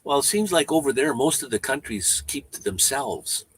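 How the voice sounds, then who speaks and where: A man around seventy with a Canadian accent, chatting casually on a livestream, rambling and halting with frequent restarts. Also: medium pitch, expressive, fairly bright tone, husky. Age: 70s. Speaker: van-life vlogger.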